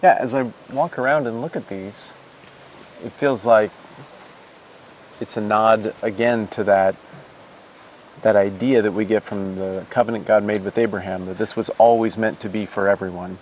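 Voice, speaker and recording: A man's voice: fairly low pitch, steady tone, normal speed, slight background noise, poor audio. A man hosting a show, asking curious, reflective questions while being shown around a monastery garden. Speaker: host interviewing a monk. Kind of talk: curious casual interview.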